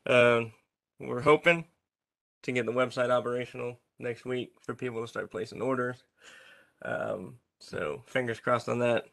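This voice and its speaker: A man giving a casual business update on a group call, steady and filled with ums. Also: medium pitch, moderate pace, vocal fry.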